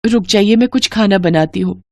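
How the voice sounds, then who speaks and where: A woman voicing a gentle young village wife in a storybook tale, soft, respectful and reassuring. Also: mid-range voice, moderate pace, creaky, dry recording, clean studio sound, natural voice. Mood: soft reassurance.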